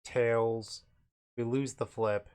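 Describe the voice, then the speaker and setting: A man's voice: mid-range voice, level tone, extremely slow, a little mellow, smooth. A man hosting casual card game commentary, his voice a bit cracky and unsteady as he recovers from being sick. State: recovering from illness, voice cracks and cuts in and out. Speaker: host, card game streamer.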